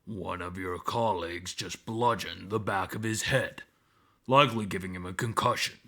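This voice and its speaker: Man as a low, restrained wolverine. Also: sharp tone, full character voice. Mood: tense restraint. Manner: measured, restrained. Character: gruff wolverine man, protective, holding back anger.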